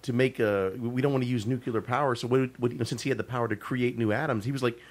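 A man's voice: medium pitch, fairly even intonation, fast. A man co-hosting a casual podcast, eager and tripping over his restarts. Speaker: podcast co-host. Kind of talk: casual chat.

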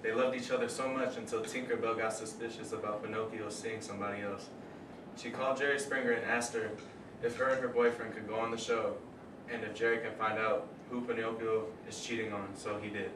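A man's voice: fairly low pitch, little pitch movement, fairly quick. A young man reads the opening of a puppet-show story in a plain scripted way. He sounds distant in an echoey room. Faint hiss runs underneath. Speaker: narrator of a school puppet show. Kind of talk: read-aloud storybook narration.

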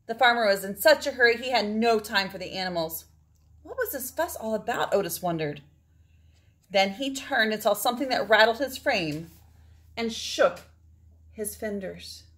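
A woman's voice: mid-range voice, expressive, normal speed, fairly bright tone. A woman in her forties reading a picture book aloud to children, drawing out words for effect and adding asides to her listeners. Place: indoors, small room at home.

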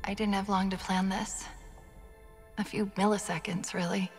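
A woman playing a quick-witted, emotional female AI companion in a video game, expressive and conversational. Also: medium pitch, long reverb tail, natural voice. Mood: wistful. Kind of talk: video game cutscene acting. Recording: music behind the voice.

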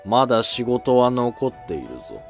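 A man's voice: medium pitch, big pitch swings, moderate pace, gravelly, soft background music. A man playing a stern, dutiful senior court historian in a scripted historical drama. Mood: stern, commanding.

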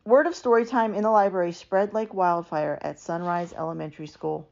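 A woman giving an animated, expressive read-aloud of a children's picture book. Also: on the low side, a little fast, vocal fry. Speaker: storybook reader. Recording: dull, lo-fi sound.

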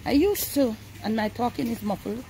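A woman's voice: fairly high pitch, moderate pace, mellow, low-quality recording. Brief: middle-aged Jamaican woman, casual vlogger, chatty running commentary, phone mic with traffic and store noise behind. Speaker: family vlogger. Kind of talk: casual vlog narration. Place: in a car, then a large supermarket. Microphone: handheld phone camera.

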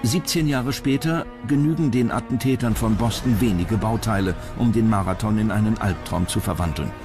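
A man's voice: on the low side, normal speed. A man reading scripted narration as the narrator of a documentary. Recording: music bed under voice. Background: background music.

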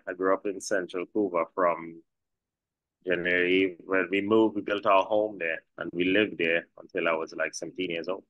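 A man's voice: low-pitched, a bit bright, no room sound, dull audio. A middle-aged Trinidadian man, a chef and restaurant co-founder, talking in a rambling way with many restarts in a video call interview.

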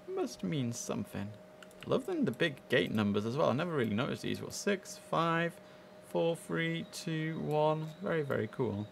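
A man's voice: fairly high pitch, big pitch swings, moderate pace, gravelly, a little hiss or hum. A young man chatting casually and easily as he hosts a live gaming stream, speaking into a headset.

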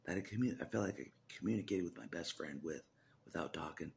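A man's voice: low-pitched, fast talker, vocal fry, slight background noise. A man in his forties rambling in a personal vlog, with hesitant restarts, repeated phrases and half-whispered asides, filmed on a phone at arm's length in a small room. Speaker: religious vlogger.

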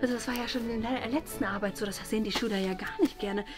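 A woman playing a scatterbrained, muddled teacher in a comedy sketch, dithering haltingly and repeating herself. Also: on the high side, natural voice. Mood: flustered. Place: indoor living room. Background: music.

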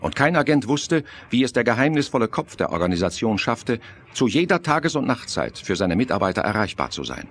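A man's voice: mid-range voice, big pitch swings, fairly quick. A man giving scripted, dramatic narration for a German thriller audio drama.

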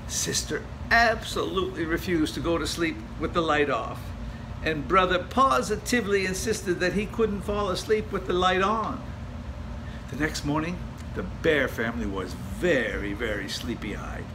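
An older man reading a children's picture book aloud in an expressive storytelling style, dropping to a hush for the spooky parts. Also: fairly high pitch, measured pace. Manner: gentle, expressive storytelling with hushed spooky passages. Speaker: storybook reader. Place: home room, indoors. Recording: home recording with steady hiss.

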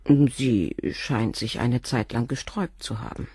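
An actress playing a composed middle-aged doctor's wife and former nurse, speaking frankly and in a measured way in a radio drama. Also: female voice, extremely low pitch, mellow, slightly rough, dry recording, studio quality, full character voice. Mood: candid. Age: 40s. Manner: measured, frank, controlled confession. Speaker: witness questioned by the inspector.

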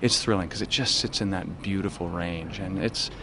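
A man's voice: low voice, fairly even intonation, moderate pace. A middle-aged man, a stage actor, speaking animatedly and with self-deprecating humor in an interview. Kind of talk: casual interview. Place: backstage in a theater. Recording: noisy backstage ambience.

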